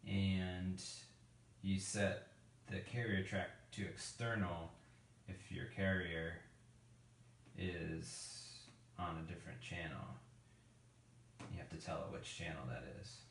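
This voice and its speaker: Low, gravelly man thinking aloud. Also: fairly even intonation, takes it slowly, pauses often, roomy sound, slight background noise. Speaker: musician demonstrating a vocoder. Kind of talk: casual hands-on software tutorial.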